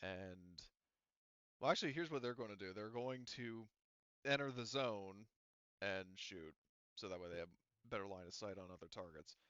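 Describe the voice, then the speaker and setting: A man acting as game master for a tabletop roleplaying game, talking casually and haltingly as he works through rules and narrates combat. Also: lowish voice, a bit bright, clean tone, no room sound, lo-fi sound. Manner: casual, halting delivery while working out rules.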